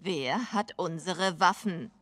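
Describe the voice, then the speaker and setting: A woman's voice: on the low side, slow pace, a bit bright. An actress playing a hard-nosed female smuggler in a video game, curt and unyielding. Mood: cold, threatening. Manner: curt, tough. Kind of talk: dramatic video game acting, German dub.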